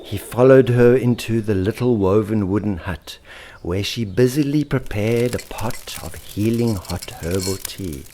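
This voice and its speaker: A man narrating a children's story in an expressive, theatrical way, lingering on alliterative words. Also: fairly low pitch, measured pace, slight background noise. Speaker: author and storyteller.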